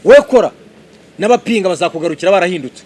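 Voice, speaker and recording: A man presenting on site, talking in a lively way and quizzing the people around him with questions. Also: high-pitched, rapid-fire. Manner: animated, quizzing listeners. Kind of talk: lively on-site promo talk.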